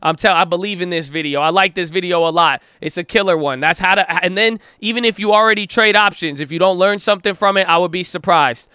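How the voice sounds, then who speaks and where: A man hosting a trading channel, talking in an excitable, slangy, casual way. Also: sits high, fast, hardly any pauses, clean tone, no room sound, studio quality. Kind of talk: casual hyped vlog talk.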